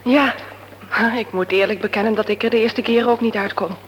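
A candid woman, played by an actress in a radio drama. She talks softly and confidingly at a middle pitch. Faint background noise is present. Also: steady tone, fairly quick, close to an everyday voice, poor audio. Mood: friendly. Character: candid woman visiting an aged father. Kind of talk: radio play acting.

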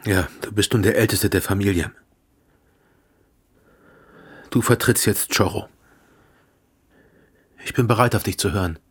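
An actor in a German radio play playing an older man mourning his friend, anguished, halting and full of remorse. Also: fairly low pitch, breathy edge, no echo. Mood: subdued. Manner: anguished, halting, breaking into whispers and wailing.

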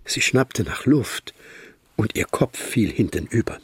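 An actor as the narrator of a detective radio drama, telling the story in a measured, literary manner. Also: male voice, lowish voice, lively intonation, slightly rough, heavily acted. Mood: measured, grave.

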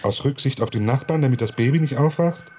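An actor playing a cocky, wisecracking police assistant in a radio crime drama. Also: male voice, medium pitch, fast, mellow, slight background noise, natural voice. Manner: wisecracking, cheeky, sarcastic. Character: cocky young male detective assistant, Philipp.

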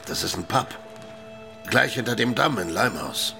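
An actor playing a shady, slangy small-time crook in a radio crime drama, speaking gruffly and hesitantly. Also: male voice, fairly low pitch, lively intonation, normal speed, music in the background. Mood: guarded.

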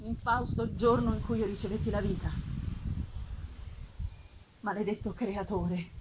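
A woman voicing a lonely, self-loathing male creature in a dramatic literary reading, eloquent and bitter, with measured, solemn phrasing. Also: high voice, close to an everyday voice, low-quality recording. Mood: anguished, accusing. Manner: measured, solemn phrasing with emphatic swells and hushed drops. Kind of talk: dramatic literary reading, live theatrical performance. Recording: live festival recording with faint noise.

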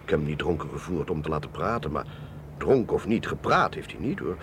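Deep-voiced, genial captain. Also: male voice, lively intonation, a little fast, fairly bright tone. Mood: candid, reassuring. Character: affable male navy or army captain, modest, candid scientist. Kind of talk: Dutch radio drama acting. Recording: faint vehicle noise behind voice.

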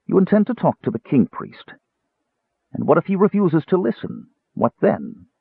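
A man voicing a cool, manipulative male mage in a fantasy audiobook, soft-spoken, controlled and commanding. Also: fairly high pitch, sing-song, normal speed, creaky, husky, no room sound, voice pushed up, heavily acted. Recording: narrow tinny radio-like band.

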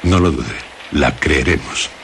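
A middle-aged man with a Castilian Spanish accent, hosting a radio drama in a solemn, deliberate, phrase-by-phrase narration over music. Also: very deep voice, fairly bright tone, slight background noise. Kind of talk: scripted introduction and narration.

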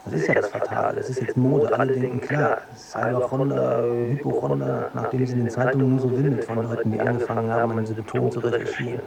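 A man's voice: medium pitch, little pitch movement, fast talker, gravelly, few pauses, a loud crowd, lightly acted, poor audio. An actor playing an anxious, defensive male hypochondriac in a radio drama, rambling and self-justifying. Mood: aggrieved, anxious.

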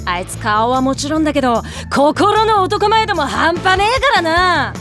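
A woman playing a blunt, tomboyish older sister in an anime drama CD, talking roughly. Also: high voice, sing-song, moderate pace, a bit bright, faint music behind. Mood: breezy. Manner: rough, breezy.

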